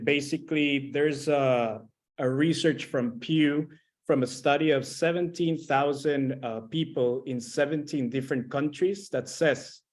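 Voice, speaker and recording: A man's voice: a little high, darkish tone. A man from Costa Rica, explaining an initiative as a guest panelist. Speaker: guest panelist, co-founder of a climate platform. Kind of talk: explanatory webinar presentation.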